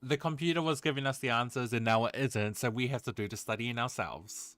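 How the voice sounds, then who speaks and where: A man's voice: medium pitch, brisk, a bit bright, faint music behind. A man casually reacting to a video game's story as he streams it. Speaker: game streamer. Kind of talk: casual reaction commentary on gameplay.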